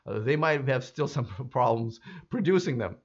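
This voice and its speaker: A hoarse man hosting his own channel, chatting casually and speculating aloud, with many fillers and restarts. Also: medium pitch, animated, normal speed, lo-fi sound. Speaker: YouTube electric car channel host. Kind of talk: casual solo commentary to camera.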